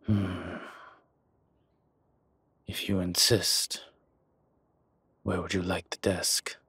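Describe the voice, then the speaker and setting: An actor playing a commanding, teasing, protective dragon mob boss in an intimate audio drama, with a husky, breathy voice and deliberate delivery. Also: male voice, sits low, little pitch movement, sharp tone, no room sound, heavily acted. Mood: playful, relaxed.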